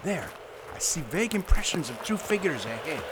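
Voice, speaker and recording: An actor playing a stern, protective mountain guide in a radio drama, urgent and commanding. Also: male voice, on the high side, big pitch swings, normal speed, background noise. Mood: cautioning.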